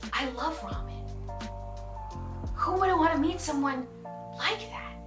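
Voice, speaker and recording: A young adult woman, a voice actor, chatting casually and answering fan questions in a bubbly, giggly, self-deprecating way. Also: high-pitched. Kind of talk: casual vlog Q&A. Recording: roomy echo with music behind.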